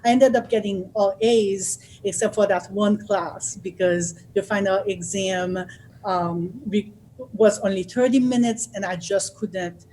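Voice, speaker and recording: A woman from Haiti, telling her own story as a guest in a thoughtful way, often pausing with fillers and restarting. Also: medium pitch, dark tone, slight background noise, poor audio. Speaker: author and guest speaker. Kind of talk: personal storytelling.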